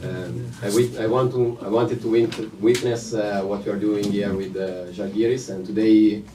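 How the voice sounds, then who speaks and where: Italian-accented male reporter, hesitant, dark tone, far off-mic in a roomy hall. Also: fairly low pitch, steady tone, moderate pace, slight background noise. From Italy. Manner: hesitant, searching for words. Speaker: journalist. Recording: distant echoey room mic.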